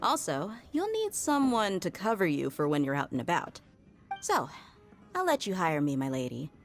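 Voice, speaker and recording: A woman's voice: fairly low pitch, huge pitch swings, moderate pace, faint music behind. An actress playing a tough, principled woman mercenary bodyguard in a game, blunt and casual in manner. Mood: easygoing. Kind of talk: game voice acting.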